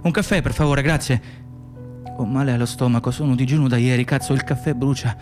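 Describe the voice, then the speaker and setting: An actor playing an exhausted, anxious immigrant nurse in a radio play, speaking in fragmented, repetitive phrases. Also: male voice, medium pitch, fairly even intonation, fast, music in the background, close to an everyday voice. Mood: weary, anxious. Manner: fragmented, self-muttering, repetitive. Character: exhausted, anxious Moroccan immigrant nurse, man, muttering inner thoughts. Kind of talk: radio theatre interior monologue and dialogue.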